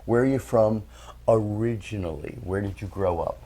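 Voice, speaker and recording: A man's voice: fairly low pitch, moderate pace, mellow. A man in his sixties hosting a casual interview, joking playfully as he asks questions. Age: 60s. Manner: jokey, playful. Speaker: host.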